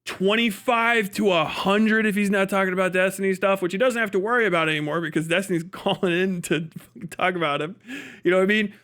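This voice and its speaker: A man's voice: high-pitched, steady tone, brisk, few pauses, a bit bright. A man giving casual livestream commentary in an emphatic, rambling, profane way. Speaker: streamer.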